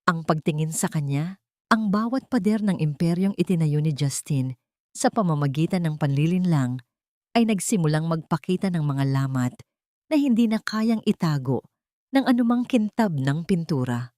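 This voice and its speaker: A woman's voice: low-pitched, lively intonation, normal speed, warm tone, no room sound, studio quality. A woman reading a scripted dramatic story as narrator, in a solemn, melodramatic manner.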